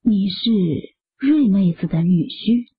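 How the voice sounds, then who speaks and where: A chatty middle-aged village auntie. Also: female voice, mid-range voice, expressive, slow pace, slightly rough, heavily acted. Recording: muffled phone-like audio.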